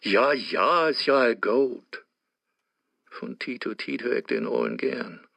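An actor playing a sly male devil who gives crafty advice, speaking with a Low German accent in a drawling, knowing way, in a radio play. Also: takes it slowly, creaky, fairly bright tone, dry recording, heavily acted.

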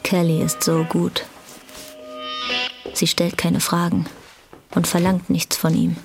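A woman's voice: fairly low pitch, level tone, takes it slowly. A woman reading scripted narration for a German radio drama. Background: guitar music.

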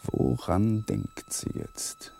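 An actor in a German crime radio play playing a troubled, coldly rational lawyer husband. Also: male voice, extremely high pitch, wildly swooping pitch, extremely slow, gravelly, warm tone, a little hiss or hum, full character voice. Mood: brooding, hushed. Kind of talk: German crime radio play acting, dialogue and inner monologue.

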